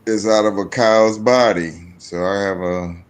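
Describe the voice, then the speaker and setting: Very deep-voiced man in his late fifties. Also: fairly even intonation, unhurried, clean tone. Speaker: podcast guest sharing personal experience. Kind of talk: casual personal conversation.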